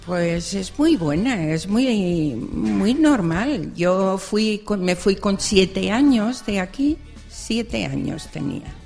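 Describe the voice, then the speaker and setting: A woman's voice: mid-range voice, expressive, slow, creaky, few pauses, darkish tone. An older woman from Extremadura sharing her story into a handheld microphone at a public event, repeating phrases in an earnest, chatty way. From Extremadura, Spain. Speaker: audience member, senior university student. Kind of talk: spontaneous testimony at a public event. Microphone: handheld microphone passed around. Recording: hall reverb with faint music.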